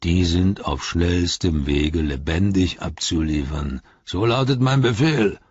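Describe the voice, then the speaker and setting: This is a stern orc commander played by a man who growls out slow, clipped orders. The voice is very low and rough. It is a dry, dull audiobook recording. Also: expressive, dry recording, heavily acted. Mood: commanding.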